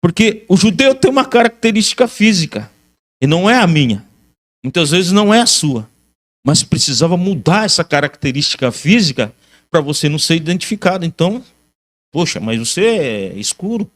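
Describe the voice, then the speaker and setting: A man's voice: on the high side, sing-song, normal speed, fairly bright tone. A man with a Brazilian Portuguese accent giving a history lecture as a religious teacher, alternating reading aloud with commentary and emphatically repeating key phrases.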